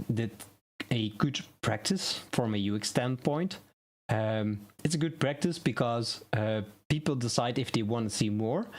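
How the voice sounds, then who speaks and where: A man whose first language is Dutch, hosting a casual live stream on web design news, rambling and correcting himself as he thinks aloud. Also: medium pitch.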